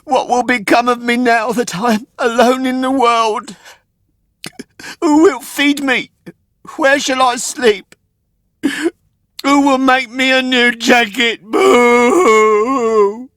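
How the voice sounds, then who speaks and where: A man voicing a young wooden puppet boy from a storybook, naive and excitable, with pleading, exclaiming speech. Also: extremely high pitch, takes it slowly, long pauses, dry recording, voice pushed up, exaggerated voice. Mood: tearful, distraught. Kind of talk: storybook character voice.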